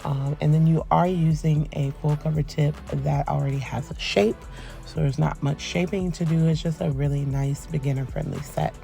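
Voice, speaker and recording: A woman giving a soft-spoken voice-over for a nail tutorial, polite and relaxed, rambling with frequent ums. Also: sits low, moderate pace, creaky. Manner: soft, quiet, polite phone-etiquette voice. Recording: voice-over with faint background music.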